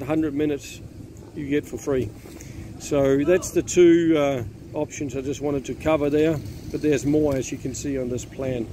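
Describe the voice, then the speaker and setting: A man hosting a vlog, explaining things to viewers in a casual, chatty, informative way. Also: on the high side. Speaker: vlog host. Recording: noisy outdoor street with traffic.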